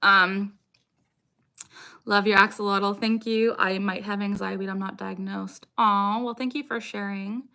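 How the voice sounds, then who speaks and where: Young female streamer, bright crisp-edged voice at middle pitch, informal and frank Q&A chat punctuated by vocal tics. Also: level tone, measured pace. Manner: sudden repeated vocal tics interjected into talk. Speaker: livestream host, content creator. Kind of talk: casual livestream Q&A chat, answering viewer comments.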